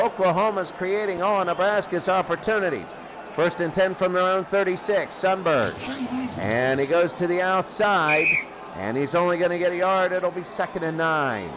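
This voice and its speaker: A man doing excitable play-by-play football commentary in a radio style, often repeating key phrases. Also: high voice, noisy background.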